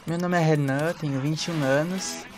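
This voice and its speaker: Competitive, reserved young male contestant played by a man, highish voice over loud music. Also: fairly even intonation, moderate pace, close to an everyday voice. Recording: prominent music behind the voice.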